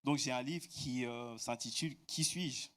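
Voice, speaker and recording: A man's voice: medium pitch, measured pace, fairly bright tone. Hesitant male church interpreter. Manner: hesitant, frequent fillers and self-corrections. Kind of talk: consecutive interpreting into French.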